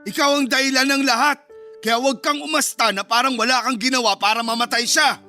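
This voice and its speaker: A man's voice: extremely high pitch, fairly even intonation, fairly quick, sharp tone, exaggerated voice. An actor playing a cold, resentful middle-aged father in a Filipino radio drama, speaking in a curt, scornful, accusing way. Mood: furious, accusing. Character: cold, resentful, harsh father of a grown son. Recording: music bed behind the voice.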